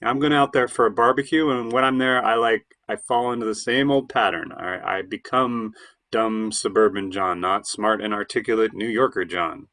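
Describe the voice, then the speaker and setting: A man's voice: lowish voice, normal speed, fairly bright tone. Man acting a flustered, defensive guy in his thirties. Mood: defensive, harried. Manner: hesitant, stammering, defensive. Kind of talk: audio play dialogue.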